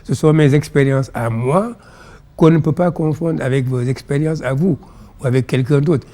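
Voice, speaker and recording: A man with a Senegalese accent and Pulaar as his first language, explaining ideas in measured, didactic phrases as an interview guest. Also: a little high, dark tone. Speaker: interview guest, philosophy author.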